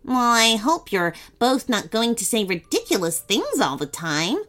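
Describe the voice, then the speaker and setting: A woman's voice: fairly high pitch, very animated, a little slow, a bit bright. A woman voicing a snooty, abrupt female cookie who snaps rudely in a children's story. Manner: snooty, snappish.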